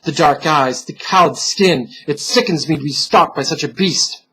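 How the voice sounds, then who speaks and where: Male reader as a wicked man hounded by guilt, husky and theatrical, fearful yet defiant, for gothic horror storytelling. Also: on the high side, moderate pace, pushed and bright, exaggerated voice, rough recording. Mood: bitter defiance. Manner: dramatic, tense muttering and outbursts. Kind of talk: dramatic storybook horror reading.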